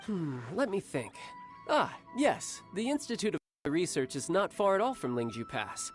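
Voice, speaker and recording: Brief: a cocky, easygoing young warrior, teasing and smooth, with a lively, lilting read. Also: male voice, sits high, moderate pace, soft background music. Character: cocky young male warrior, doting older brother, playful. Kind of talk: game cutscene voice acting.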